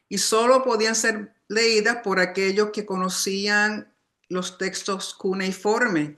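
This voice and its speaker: A woman's voice: mid-range voice, fairly bright tone. A woman giving a religious study lecture in a didactic, explanatory manner. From Puerto Rican family, born outside the island. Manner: didactic, explanatory, often reminding listeners. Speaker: religious teacher.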